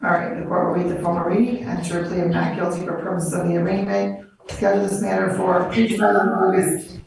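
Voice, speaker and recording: A woman presiding as a judge in court, speaking in a firm, measured way and stopping people to question them. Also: on the low side, little pitch movement, vocal fry, darkish tone. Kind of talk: court proceedings over video. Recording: echoey and distant with faint noise.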